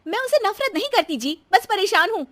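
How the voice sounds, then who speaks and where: A woman's voice: very high voice, big pitch swings, a little fast, dry recording, pitched above the performer's own voice. A woman voicing a harried, emphatic young daughter-in-law in a cartoon story. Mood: frustrated. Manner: complaining, emphatic.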